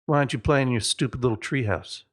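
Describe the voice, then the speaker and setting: A man's voice: mid-range voice, fast, natural voice. Neighborhood boy bully for a storybook, male reader. Cocky, scornful, taunting sneer.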